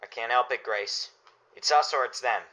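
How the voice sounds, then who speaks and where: A man's voice: mid-range voice, moderate pace, pushed and bright, lightly acted. A man playing a stern, embattled doctor in a radio play, emphatic and unyielding. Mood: anguished resolve. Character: stern, embattled male doctor refusing his neighbors. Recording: dull lo-fi audio.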